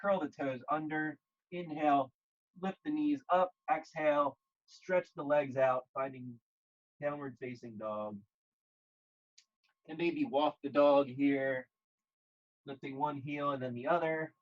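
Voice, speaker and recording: A man leading a guided yoga class, speaking gently and calmly in short, measured phrases with pauses. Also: fairly high pitch, highs cut off. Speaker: yoga instructor.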